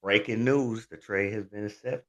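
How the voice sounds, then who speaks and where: Brash co-host, bright edgy voice, dull lo-fi mic. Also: male voice, fairly low pitch, normal speed. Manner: brash, trash-talking. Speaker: podcast co-host. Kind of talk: fantasy football banter.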